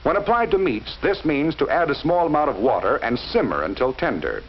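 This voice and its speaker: A man narrating a 1940s educational film in a measured, instructive way, speaking directly to the viewer. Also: on the high side, sing-song. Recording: dull lo-fi 1940s film soundtrack with faint hiss.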